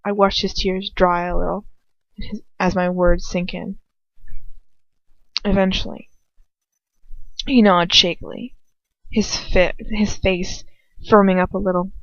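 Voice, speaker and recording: A woman's voice: medium pitch, little pitch movement, measured pace, pauses often, dry recording. A woman narrating first-person fiction as an amateur audiobook reader, in an earnest, emotive, dramatic style. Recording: dull lo-fi home recording.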